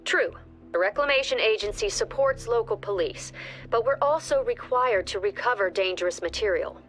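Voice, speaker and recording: A woman playing a stern, composed director of a security agency, giving formal, measured briefings in a video game. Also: mid-range voice, animated, a bit bright, lightly acted. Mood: grave, resolute. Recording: music behind the voice.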